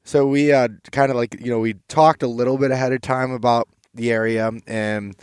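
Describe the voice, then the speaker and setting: A man's voice: medium pitch, fairly even intonation, a little fast, a little mellow, clean tone, no room sound, clean studio sound. A man in his twenties hosting a casual, enthusiastic, informal chat outdoors. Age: 20s. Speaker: podcast host. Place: outdoors by a campfire.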